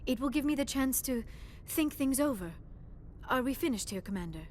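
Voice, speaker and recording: A fairly high-voiced alien scientist, gentle and courteous. She picks her words formally, as a sci-fi game character, over faint rumble. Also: lively intonation, moderate pace. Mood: tired, thoughtful. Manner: polite, precise, avoids contractions.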